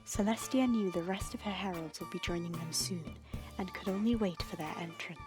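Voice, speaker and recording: A woman storyteller reading scripted fiction dramatically, with suspenseful, breathy phrase endings. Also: lowish voice, brisk, music in the background.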